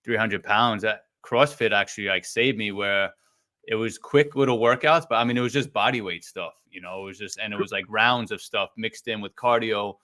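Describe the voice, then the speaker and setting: A middle-aged man hosting a podcast interview in a relaxed, rambling way. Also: on the low side, moderate pace. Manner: relaxed, rambling, frequent fillers and restarts. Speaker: podcast host.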